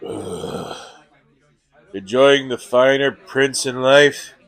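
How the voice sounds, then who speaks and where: A man's voice: medium pitch, drawn-out words, a bit bright. An actor playing a sensible, exasperated young man who complains at his friend in a comedy radio play. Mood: grumbling. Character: exasperated, sensible young male best friend named Stu.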